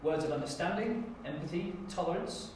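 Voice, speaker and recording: A man's voice: a little high, expressive, fairly quick, a little mellow. A man giving a dramatic reading as an auditioning actor. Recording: very echoey, distant mic, clear noise.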